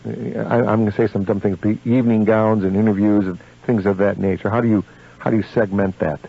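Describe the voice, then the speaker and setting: Husky American man hosting lo-fi radio. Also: lowish voice, fairly even intonation, fast talker. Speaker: radio host. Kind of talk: casual radio interview chat. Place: radio show. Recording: dull lo-fi radio recording.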